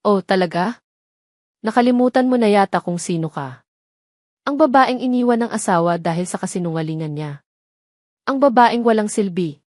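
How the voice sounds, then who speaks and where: A woman's voice: mid-range voice, normal speed, pauses often, a little mellow, no room sound, clean studio sound, lightly acted. A woman voicing a cruel, smug woman rival who taunts in an audio love drama. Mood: mocking.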